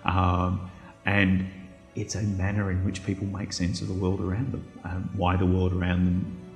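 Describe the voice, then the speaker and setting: A man's voice: deep voice, monotone, moderate pace, a little mellow. A middle-aged Australian man, a historian giving hesitant, reflective interview answers and often repeating words. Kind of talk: thoughtful interview talk. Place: indoor room, seated. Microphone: lapel. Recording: echoey room with faint music.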